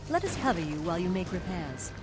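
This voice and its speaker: An actor playing a courteous, dryly wry plant-folk soldier in a video game. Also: male voice, high-pitched, very animated, quick pace. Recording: loud music behind.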